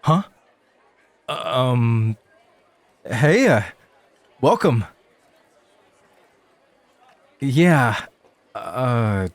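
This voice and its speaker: A man's voice: medium pitch, big pitch swings, extremely slow, no echo, some chatter behind, exaggerated voice. A man acting in an ASMR roleplay as a laid-back rookie club host, casual, hesitant and a little awkward. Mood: nervous, modest.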